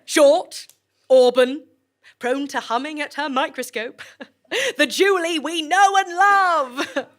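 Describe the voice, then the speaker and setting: A woman's voice: high-pitched, slow pace, fairly bright tone. A woman playing a genial, unflappable institute director who speaks politely and formally, with droll understatement, in a comic audio drama. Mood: amused, confessional. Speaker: research institute director addressing staff.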